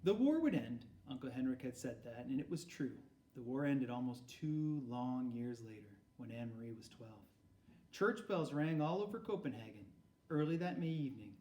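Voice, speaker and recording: A man narrating a storybook aloud in an expressive read-aloud style. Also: medium pitch. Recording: roomy echo, far from mic.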